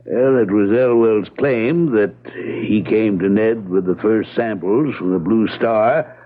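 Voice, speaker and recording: An actor playing a reserved, evasive American attorney in old-time radio drama style, hedging in a measured, matter-of-fact way. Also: male voice, fairly low pitch. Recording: tinny, narrow-band vintage radio broadcast.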